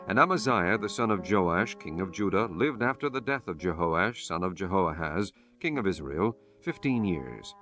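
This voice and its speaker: A man reading scripture as an audiobook narrator in a solemn, measured, formal manner. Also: on the low side, fairly bright tone.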